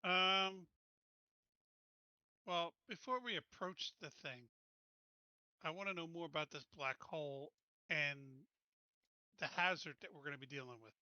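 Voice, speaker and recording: A man's voice: a little high, unhurried, pauses often, pushed and bright, clear, resonant tone, dry recording, lo-fi sound. A man chatting casually as a player in a tabletop roleplaying game, asking questions as he goes.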